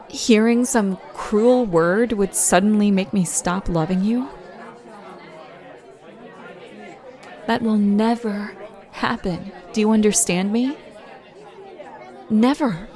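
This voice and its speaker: A fiercely protective young girlfriend, played by a woman in a roleplay audio, who talks straight to you and calls you "baby." She speaks slowly and takes her time over each word. A faint murmur of a crowd sits behind her. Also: mid-range voice, close to an everyday voice.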